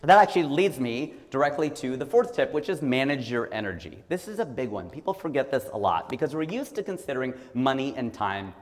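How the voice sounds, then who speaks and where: Animated man in his forties, joking. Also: mid-range voice, fairly quick, hardly any pauses, in a large hall. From Ohio, USA. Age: 40s. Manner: conversational and joking, acts out quoted people. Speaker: comedian giving a motivational talk. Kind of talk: stand-up style motivational talk.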